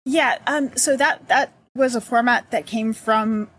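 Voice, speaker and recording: A woman's voice: fairly high pitch, a little slow, a bit bright. A woman talking as a guest in a casual interview, thoughtful and searching for her words. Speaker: accessibility consultant, guest.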